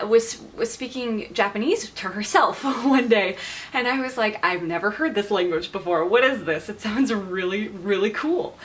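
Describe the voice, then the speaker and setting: A woman's voice: medium pitch, fairly bright tone. A woman in her twenties talking casually to camera as a vlogger, chatty and enthusiastic, laughing often as she talks. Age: 20s. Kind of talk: casual vlog talk to camera.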